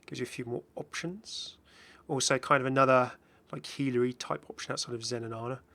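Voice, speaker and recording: A man with a bright, forward voice and a gravelly creak talks casually to his gaming channel's viewers. He is chatty and rambles along unscripted, at a middling pitch. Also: fairly even intonation, a little fast. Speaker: gaming YouTuber. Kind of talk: casual unscripted video commentary and channel update.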